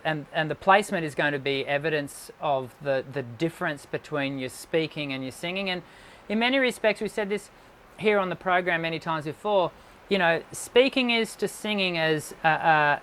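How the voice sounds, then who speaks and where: A man, a voice teacher, hosts a live stream, reading viewer questions and answering in a measured way. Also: fairly high pitch, a bit bright, a little hiss or hum. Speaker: host and voice teacher. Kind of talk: live-stream show hosting.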